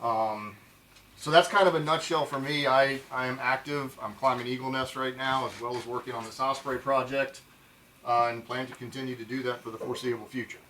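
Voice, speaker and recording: An informal, plainspoken man gives a conference talk as a field biologist. His voice sits at an ordinary middle pitch. It reaches the mic from a distance, with a faint hiss in the room. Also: fairly even intonation, a little fast, pushed and bright.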